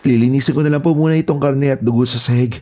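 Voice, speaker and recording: An actor playing a polite, eager young houseboy, earnest and deferential, in a horror audio drama. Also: male voice, mid-range voice, steady tone, quick pace, warm tone, lightly acted. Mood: apologetic, insistent.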